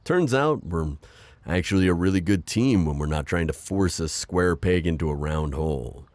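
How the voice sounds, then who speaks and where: A man voicing a gentle, self-deprecating divorced construction-worker dad in an ASMR roleplay, hesitant and stammering with soft jokes. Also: deep voice, animated, normal speed, no room sound. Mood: shy, flirty. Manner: hesitant, full of ums and stammers, joking softly. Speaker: voice actor.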